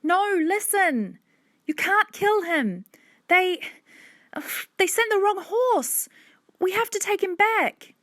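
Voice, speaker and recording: An earnest young girl from a storybook, played by a woman narrator, speaks in a high, urgent voice. She is anxious and apologetic, yet keeps pleading her case. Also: lively intonation, slow, pauses often, fairly bright tone, dry recording, clean studio sound, full character voice. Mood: urgent, insistent.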